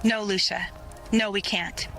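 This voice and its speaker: An actress playing a determined, burdened rebel leader in an audio drama. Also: female voice, medium pitch, fairly even intonation, a little slow, a bit bright, soft background music. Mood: earnest, determined. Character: determined female rebel leader Seraphine, burdened but full of faith.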